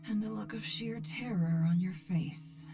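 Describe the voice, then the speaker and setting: An actress playing a composed, perceptive young woman strategist in a fantasy game, speaking in a measured, deliberate way. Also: mid-range voice, vocal fry, over music. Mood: calm, knowing.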